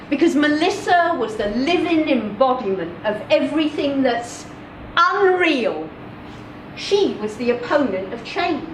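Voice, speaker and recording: High-voiced woman reading a story, slowly. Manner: deliberate, expressive, with measured pauses. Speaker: reader of a short story. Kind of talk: dramatic first-person story reading, live literary performance. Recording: roomy, distant mic, clear background noise.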